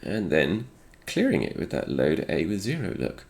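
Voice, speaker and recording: Male tech explainer, very creaky low voice, a little stuffed up, talks through his reasoning as he goes. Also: wildly swooping pitch, normal speed, darkish tone. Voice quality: slightly congested. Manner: thinking aloud, musing. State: congested, recovering from a cold. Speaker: host of a retro game programming video. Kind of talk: unscripted technical screen walkthrough.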